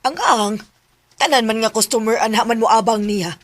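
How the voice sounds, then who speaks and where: A woman's voice: a little high, normal speed, natural voice. A woman with a Filipino Bisaya accent acting a gossipy, knowing acquaintance in a radio drama. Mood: offhand, knowing.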